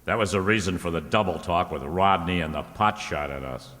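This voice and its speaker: A hard-boiled, wisecracking male private investigator from a radio play, acted by a man. His voice is low and forceful, his talk clipped and slangy. It rings in a reverberant hall. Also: fairly quick. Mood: brooding, determined. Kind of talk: old-time radio detective drama acting. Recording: reverberant hall, stage-like sound.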